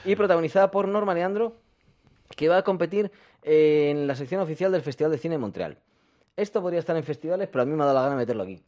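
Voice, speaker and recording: A man's voice: fairly high pitch, lively intonation, fast, a little mellow, lo-fi sound. A man hosting a film radio show, talking in a playful, teasing way and making jokey asides. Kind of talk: casual radio banter.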